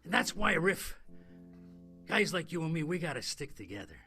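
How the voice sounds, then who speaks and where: A man acting in an improvised radio soap as an earnest war veteran turned chummy investigator, speaking emphatically and haltingly. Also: on the high side, big pitch swings, over loud music.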